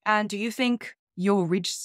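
A woman's voice: medium pitch, measured pace, no room sound, clean studio sound. A woman in her thirties talking casually and chattily to camera, like a tech vlog host. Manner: chatty, informal. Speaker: host, tech content creator. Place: small room at home, indoors.

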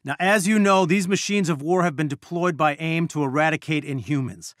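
An actor playing an earnest, brainy scientist and weary resistance leader, giving articulate, measured mission briefings in a video game. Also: male voice, a little high, a bit bright, no echo, clean studio sound, natural voice. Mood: grateful, resolute.

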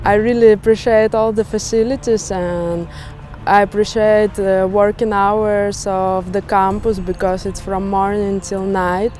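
A young woman with a Russian accent talks carefully about her studies in a level, unvaried voice. She was recorded outdoors in a park. A clear music bed plays underneath. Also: medium pitch, darkish tone. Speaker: music student. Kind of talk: interview testimonial.

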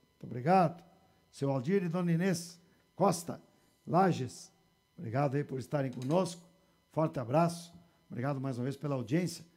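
A man's voice: on the high side, warm tone. A man in his forties with a gaúcho accent from southern Brazil, hosting a local studio show and chatting casually with viewers. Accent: Rio Grande do Sul gaúcho Portuguese. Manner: chatty, sprinkles "tá?" and "né?". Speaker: TV show host. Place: small TV studio with banners.

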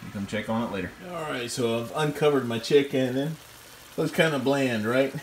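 A man's voice: medium pitch, a little fast. A man casually reviewing a skillet while he cooks in his home kitchen, talking in a chatty, enthusiastic way with restarts and fillers. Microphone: camera mic, speaker off screen. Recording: echoey kitchen with faint background noise.

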